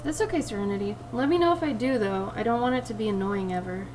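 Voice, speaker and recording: A young woman with a raspy voice, chatting in a chill, laid-back way with viewers while drawing on a livestream, sniffing now and then. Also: mid-range voice, quick pace, distant mic. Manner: chill, relaxed, sniffing often. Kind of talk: casual chat with stream viewers while drawing. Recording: steady background noise.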